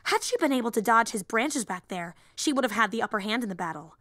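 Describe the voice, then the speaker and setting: A woman's voice: a little high, animated, fast, fairly bright tone, no room sound. A woman voicing a young, nerdy female hero fan and teacher in an audio roleplay, eager, rambling and easily flustered. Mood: earnest, rambling.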